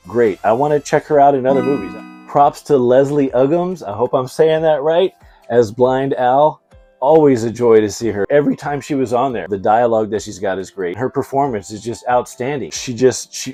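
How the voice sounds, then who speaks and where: A man's voice: medium pitch, warm tone. A middle-aged man hosting a casual movie review, talking in a slangy, effusive and emphatic fan's way. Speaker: video host, movie reviewer.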